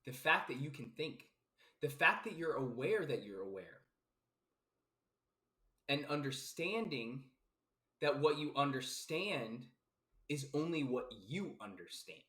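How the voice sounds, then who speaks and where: A life coach from South Florida muses aloud in a loose, emphatic podcast monologue, circling back on his points and dropping the occasional curse. His voice is bright and forward at a middling pitch. The room around him rings with a noticeable echo. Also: sing-song, unhurried, pauses often. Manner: emphatic and repetitive, casual swearing, many fillers. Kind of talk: casual philosophical podcast monologue. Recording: roomy echo.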